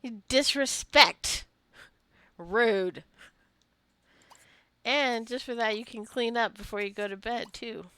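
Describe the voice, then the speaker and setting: A woman's voice: a little high, slow pace, pauses often, pushed and bright, smooth, no echo. A woman casually narrating her own video game play, rambling into asides and playfully scolding the characters as she goes. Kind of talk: casual gameplay commentary. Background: life simulation game being played.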